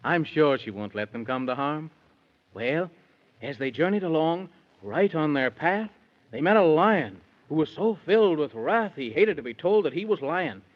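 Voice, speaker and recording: An actor playing a genial storybook narrator, telling a children's tale in verse in 1930s radio style. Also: male voice, fairly high pitch, animated, normal speed, close to an everyday voice. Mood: storytelling. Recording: muffled 1930s radio recording.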